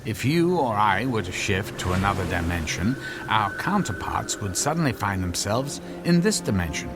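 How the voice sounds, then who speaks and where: An actor playing an earnest, anxious physicist husband in a radio drama, explaining his invention in halting, stammering phrases. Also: lowish voice, big pitch swings, loud music behind the voice. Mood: cautioning.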